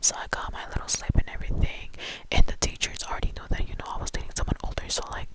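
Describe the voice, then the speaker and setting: A young woman telling a rambling personal story in a casual whisper. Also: fast talker.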